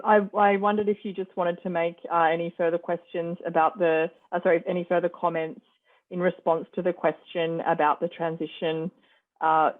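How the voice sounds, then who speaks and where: A woman moderating an online panel discussion, with a hesitant, halting delivery. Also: fairly low pitch. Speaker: forum moderator. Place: online video call. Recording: muffled, band-limited video call audio.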